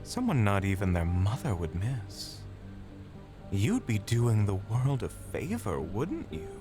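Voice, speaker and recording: A taunting phantom demon from an audio drama , acted by a man. He speaks low and slowly with lively, sinister swings of pitch, goading and mocking. Music plays beneath him. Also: exaggerated voice. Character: malevolent taunting male inner demon, a hallucination. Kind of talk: audio drama villain dialogue.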